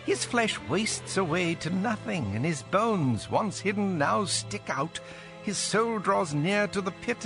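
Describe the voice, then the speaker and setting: A high, swooping voice of an indignant young man, emphatic and oratorical, as in a Bible audio drama. He lectures his elders with fervor over music. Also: gravelly, heavily acted. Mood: grave warning. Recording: music behind.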